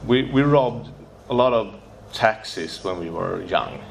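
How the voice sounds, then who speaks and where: Low-voiced Swedish man, nervous, halting non-native English, faint noise behind. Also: expressive, vocal fry. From Sweden. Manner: halting, searching for English words, many fillers and restarts. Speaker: speaker sharing recovery story. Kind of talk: recovery meeting share.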